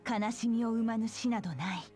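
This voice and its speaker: An actress playing a solemn young woman soldier in a Japanese video game drama, speaking gravely with hushed phrase endings. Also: a little high, racing, faint music behind. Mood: solemn resolve.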